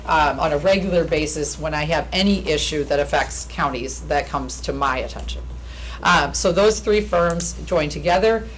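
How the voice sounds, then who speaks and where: Loud, persuasive woman attorney at a podium. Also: fairly low pitch, slight background noise, low-quality recording. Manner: earnest, persuasive. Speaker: attorney presenting to county commissioners. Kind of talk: formal pitch presentation. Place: county commission meeting room. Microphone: podium microphone.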